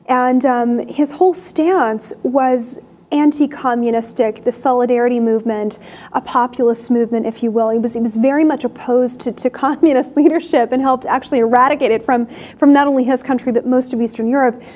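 Young female host, higher voice, chatty studio news delivery, lapel mic. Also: fairly even intonation, a little fast, darkish tone. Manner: chatty, many fillers and restarts. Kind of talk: casual news commentary. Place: TV studio desk.

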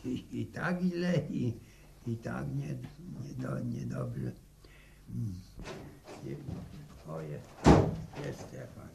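An actor playing an old peasant grandfather in a 1960s radio serial, hesitant and sly, stammering and repeating his words in a gravelly voice. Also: mid-range voice, huge pitch swings, takes it slowly, long pauses, heavy background noise, heavily acted. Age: older. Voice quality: creaky, gravelly.

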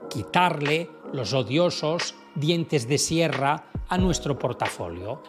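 Man, 50s, measured and emphatic host, studio mic, music bed behind. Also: a little high. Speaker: finance YouTuber. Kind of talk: scripted explainer to camera. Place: dim studio room with blue light. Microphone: studio mic on stand. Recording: background music under voice.

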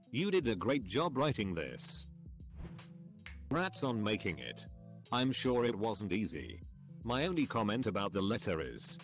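A man reading a series of online forum comments aloud in a steady, even delivery. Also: lowish voice.

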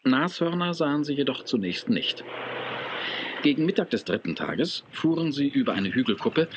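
An actor narrating a children's audio drama in a measured, scripted storytelling way. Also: male voice, medium pitch, sing-song, background noise, close to an everyday voice. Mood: measured, descriptive.

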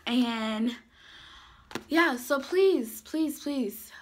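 A young woman chatting to camera in a casual vlog, her voice worn from a sore throat, animated and gesturing as she talks. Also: high voice, very slow, slight background noise. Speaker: YouTuber. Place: small indoor room, dark backdrop.

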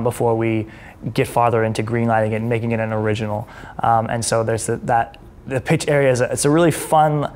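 A man in his thirties with a mid-pitched voice leads a casual interview. He speaks conversationally as an editor, affirming and encouraging his guest. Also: darkish tone. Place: indoor studio set with brick wall.

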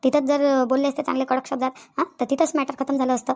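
A woman's voice: sits high, little pitch movement, quick pace, creaky, darkish tone. A woman voicing a quarrelsome, nagging village wife and mother in a comedy cartoon, with a rural Vidarbha Marathi accent, scolding and repeating her complaints. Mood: scolding, aggrieved. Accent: rural Maharashtrian, Vidarbha.

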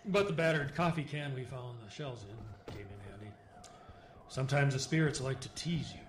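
An actor playing a weathered man solemnly recounting a grim survival tale in halting, folksy, clipped sentences for a radio horror drama. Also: mid-range voice, lively intonation, lightly acted. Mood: grim recollection. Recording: roomy, clear background noise and ambience.

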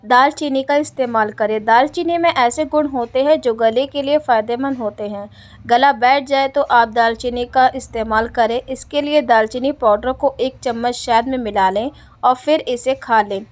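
A woman narrating home remedy tips over text slides in a plain, steady reading voice. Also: a little high, moderate pace.

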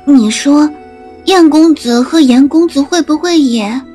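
A young maidservant in an audio drama, with a high voice. She is earnest, hot-headed and devoted to her mistress, and soft music plays behind her. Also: measured pace. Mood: worried, bitter. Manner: fervent, earnest.

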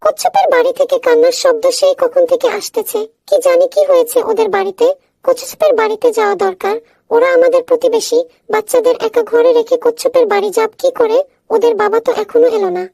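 Squeaky, creaky little mother bird. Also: fast, warm tone, faint music behind, exaggerated voice. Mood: worried. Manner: scolding, complaining. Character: nagging tiny mother bird, fed up with her husband.

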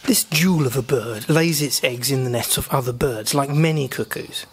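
Calm man narrating a voice-over. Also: medium pitch, normal speed, slight background noise. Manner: calm, informative. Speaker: birding vlogger.

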